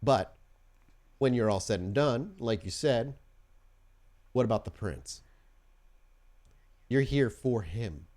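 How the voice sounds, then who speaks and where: A man voicing a shrewd, suspicious female vampire gang leader who bargains frankly and haltingly in a tabletop game. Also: mid-range voice, lively intonation, darkish tone. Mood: blunt, pragmatic.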